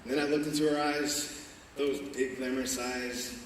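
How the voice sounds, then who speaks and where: A teenage actor playing a cheerful, smitten young groom, talking and singing on a school stage. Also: male voice, mid-range voice, level tone, moderate pace, slight background noise, natural voice. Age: teens. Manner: bright stage delivery, sings a patter song. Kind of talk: stage musical performance. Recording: echoey hall from far off.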